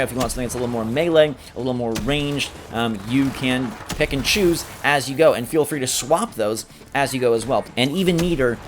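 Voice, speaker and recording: A man hosting a gameplay video, giving enthusiastic running commentary. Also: medium pitch. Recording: game sound loud behind voice. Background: video game action noise.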